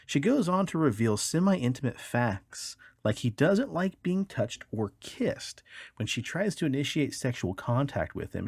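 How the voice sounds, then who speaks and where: A man's voice: medium pitch, husky, no room sound, studio quality. A man co-hosting a podcast, reading odd news stories aloud with casual, wry, joking commentary. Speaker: podcast co-host.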